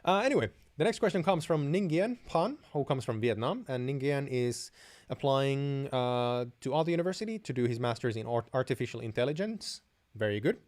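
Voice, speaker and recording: A man's voice: medium pitch, expressive, fairly quick, a little mellow. A young man from Finland with a Finnish accent, a native Finnish speaker hosting a live Q&A stream, giving blunt, direct advice with many restarts and small chuckles. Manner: chuckles between answers. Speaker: host.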